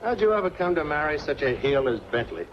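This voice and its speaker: Dogged, wry noir investigator, muffled film sound. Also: male voice, a little high, very animated, fast talker, a little hiss or hum. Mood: wry, teasing. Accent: American. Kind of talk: 1940s film noir acting.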